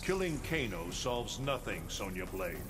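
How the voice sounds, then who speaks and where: A solemn protector god in a fighting game. His delivery is stern, measured and formal. Loud music and effects play behind every word. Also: lowish voice, very animated. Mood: solemn, commanding. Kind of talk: dramatic fighting game dialogue. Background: game music and sound effects.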